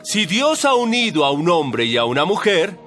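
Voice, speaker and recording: A calm, authoritative holy teacher in an audio Bible drama. He delivers solemn, measured lessons with widely varied intonation and firm emphasis. Soft music plays behind him. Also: high-pitched. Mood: firm teaching.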